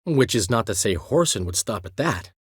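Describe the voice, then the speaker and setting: An actor playing a vain, witty male bard in a video game, speaking theatrically with sweeping swings in pitch. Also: sing-song, a little fast, husky, no echo, clean studio sound. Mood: grateful. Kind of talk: game voice acting.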